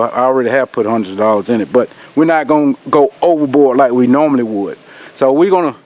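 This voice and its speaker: A man's voice: fairly high pitch, darkish tone. Nasal-sounding man outdoors. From Georgia, US. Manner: rambling, folksy, with many fillers. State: nasal from a cold. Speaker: outdoors channel host. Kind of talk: casual vlog talk to camera. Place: outdoors in a rural field. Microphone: camera on a tripod.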